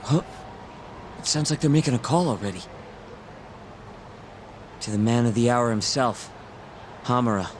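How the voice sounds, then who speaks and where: An actor playing a breezy, quick-witted young sidekick in a video game, speaking casually. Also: male voice, medium pitch, a little hiss or hum, natural voice. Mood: casual, alert. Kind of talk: video game cutscene acting.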